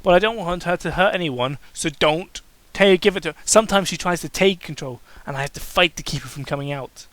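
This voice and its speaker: A man acts out a female cartoon pony from a fan story, pitched high and bright. The pony is bubbly at heart but anxious, earnest and pleading. The recording is dry and close. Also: fairly quick, few pauses, no room sound, close to an everyday voice. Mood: tearful, pleading. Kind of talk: dramatic reading of fan fiction.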